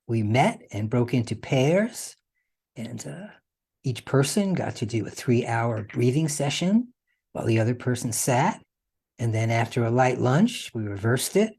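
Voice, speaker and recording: A man's voice: mid-range voice, darkish tone, husky. A man hosting a podcast, explaining things in a casual, conversational way. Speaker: podcast host.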